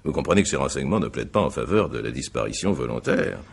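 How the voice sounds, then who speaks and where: A very deep-voiced examining magistrate in a radio mystery. He is husky and gravelly, a courteous man who probes methodically with formal, measured, hesitant questions. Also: lively intonation, full character voice. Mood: probing, formal. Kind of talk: French radio mystery drama acting.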